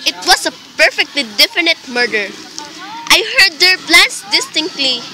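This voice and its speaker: Woman playing exasperated imperious wife, high voice, piercingly bright, melodramatic, insistent, amateur radio play. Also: expressive, normal speed, full character voice, rough recording. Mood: agitated, pleading. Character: anxious, imperious, exasperated invalid wife reporting a murder plot.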